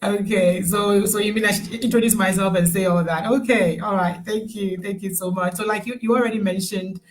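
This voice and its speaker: A woman's voice: medium pitch, fairly even intonation, brisk, low-quality recording. Woman in her thirties, friendly interview guest on a video call, laughs easily. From Ghana. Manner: friendly, laughs often, chatty. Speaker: guest, nurse turned online business owner. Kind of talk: casual live-stream interview.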